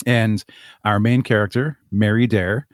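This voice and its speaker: A man's voice: fairly low pitch, steady tone, measured pace, a little hiss or hum. A man speaking as an interview guest, explaining his creative project. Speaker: podcast guest, audio drama creator.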